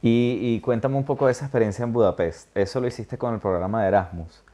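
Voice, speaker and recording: A man hosting a casual interview, talking thoughtfully with many pauses, fillers and little check-ins. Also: medium pitch, fairly even intonation, warm tone. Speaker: interview host. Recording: dull lo-fi sound.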